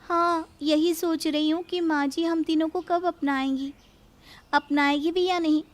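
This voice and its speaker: A woman's voice: extremely high pitch, steady tone, a little slow, pitched above the performer's own voice. A woman voicing a meek, worried young daughter-in-law in a cartoon story, speaking with timid, respectful pleading. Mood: sad, doubtful. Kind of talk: Hindi cartoon story acting.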